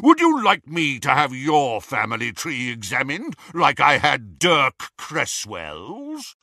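A man's voice: medium pitch, huge pitch swings, moderate pace, creaky, sharp tone, no room sound, studio quality, exaggerated voice. A man voicing a young wizard posing as a powerful official, blustering with authority. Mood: commanding.

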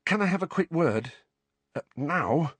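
A man voicing a troubled teenage boy who speaks in tense, hushed, urgent bursts. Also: on the high side, animated, a little slow, husky, dry recording, pitched above the performer's own voice, exaggerated voice.

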